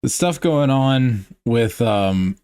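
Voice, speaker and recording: A man hosts a loose, casual podcast conversation. He talks hesitantly, with many fillers, restarts and trailing thoughts. His voice sits at an ordinary pitch, and it is recorded close and dry. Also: lively intonation, takes it slowly, dark tone, smooth, dry recording. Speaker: podcast host. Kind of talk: casual group chat.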